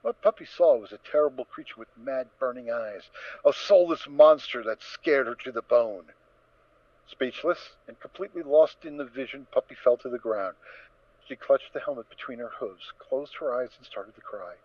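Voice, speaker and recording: A man's voice: medium pitch, expressive, normal speed, no room sound, highs cut off. A man narrating a scripted story in a dramatic reading style.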